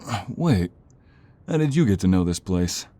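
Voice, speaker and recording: An actor playing a devoted, protective mobster husband, earnest and intense, with a husky voice in a dramatic audio role-play. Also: male voice, sits low, very animated, dark tone. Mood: strained, wary. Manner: slow, intense, emotional pleading.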